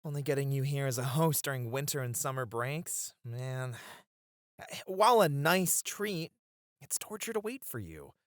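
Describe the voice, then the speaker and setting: Man acting a flirty, theatrical bunny-club host, very animated. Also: mid-range voice, moderate pace, dry recording, clean studio sound, natural voice. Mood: pouty, teasing. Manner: theatrical, coy, effusive. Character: teasing, dramatic, flirty bunny-club host Roy, male. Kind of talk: flirty ASMR roleplay acting.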